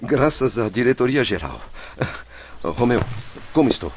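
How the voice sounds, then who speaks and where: Eager, vain gentleman, lively pitch. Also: unhurried, slight background noise. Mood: relieved, excited. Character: impatient, vain gentleman spirit, eager and theatrical. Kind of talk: radio drama acting.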